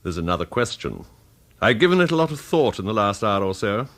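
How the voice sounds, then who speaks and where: An actor playing a coldly menacing villain in a radio thriller, with an English accent and a polite, formal, unhurried, condescending manner. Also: male voice, on the low side, sing-song, fairly bright tone, dry recording. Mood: cool interrogation. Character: courteous, coldly menacing male villain in command.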